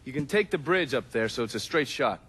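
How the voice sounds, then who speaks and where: An actor playing a sardonic, scheming American fixer in a game cutscene, wry and self-assured. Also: male voice, mid-range voice, lively intonation, a little fast, natural voice. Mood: breezy encouragement. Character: sardonic, scheming male fixer who relishes revenge. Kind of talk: dramatic game cutscene acting. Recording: music under the voice.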